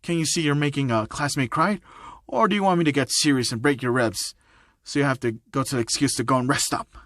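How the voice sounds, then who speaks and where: A man's voice: medium pitch, fairly quick, fairly bright tone, dry recording, clean studio sound, lightly acted. A man voicing an exasperated old male mentor who is blunt and scolding, in a story narration. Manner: blunt, grumbling.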